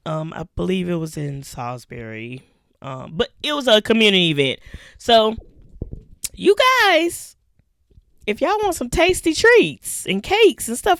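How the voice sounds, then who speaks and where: Hoarse woman, chatty podcast co-host. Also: fairly high pitch, no echo, clean studio sound. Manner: chatty, laughing, drawn-out listing. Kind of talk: casual chat.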